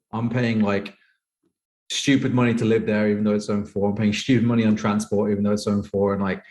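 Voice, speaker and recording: Fast-talking British man with a low voice. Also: a little mellow. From United Kingdom. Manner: rambling, peppered with "like". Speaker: podcast guest, professional poker player. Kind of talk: casual interview chat, personal storytelling.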